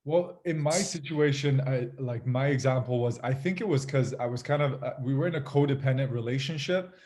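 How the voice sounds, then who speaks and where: This is a man with a medium-pitched voice, speaking as an interview guest. He tells his own story casually and reflectively. His delivery is halting, full of small restarts and you-knows. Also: darkish tone. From Iran, moved to Canada at eight. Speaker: podcast guest, fitness group leader.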